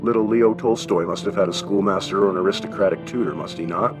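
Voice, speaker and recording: A man's voice: deep voice, fast, over music, close to an everyday voice. A man voicing a kindly, wry old German shopkeeper in an audiobook story, with a thin accented voice and avuncular questions. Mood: wry, encouraging. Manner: avuncular, chuckling, rhetorical questions.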